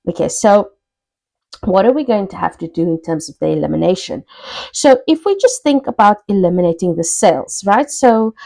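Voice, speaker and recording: A woman's voice: mid-range voice, creaky, dark tone, no echo. A woman lecturer explaining a worked example step by step in a conversational way, often checking in with the listener. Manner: conversational teaching, checks in with "okay" and "right". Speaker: accounting lecturer. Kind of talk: explanatory tutorial, working through an example step by step.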